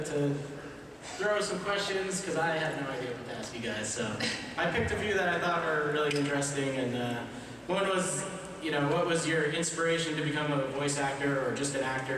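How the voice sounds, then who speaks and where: A man hosting a live panel in a casual, informal and slightly hesitant way. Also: on the high side. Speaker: panel moderator. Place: large convention panel hall. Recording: echoey hall, distant, noisy crowd.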